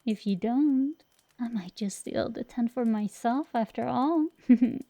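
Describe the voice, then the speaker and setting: Woman voicing a tsundere dragon girl sorceress, very mellow dark tone, gravelly fry, soft whispery ASMR, teasing, tender. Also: a little high, no room sound, lo-fi sound. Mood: playful, gentle. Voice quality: soft, breathy whisper at times. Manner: slow, intimate, teasing, affectionate. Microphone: close mic.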